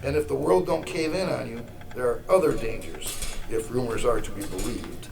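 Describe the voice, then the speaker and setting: An actor playing a gruff, sardonic rebel mentor with folksy, brusque speech in a radio drama. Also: male voice, mid-range voice, moderate pace, natural voice. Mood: wry warning. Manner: folksy slangy, wry, brusque.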